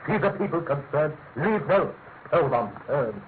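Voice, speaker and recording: An actor playing an authoritative senior government official in a classic radio drama, formal and urgent. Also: male voice, fairly high pitch, very animated, measured pace. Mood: urgent, commanding. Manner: commanding, formal. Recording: tinny, faintly noisy vintage radio.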